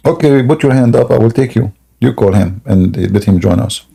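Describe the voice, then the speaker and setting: A man hosting a live call-in debate, mocking and laughing often, with a sore throat and frequent throat clearing. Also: mid-range voice, fairly quick, mellow. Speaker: host of a religious debate stream. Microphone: something in his mouth may touch the mic.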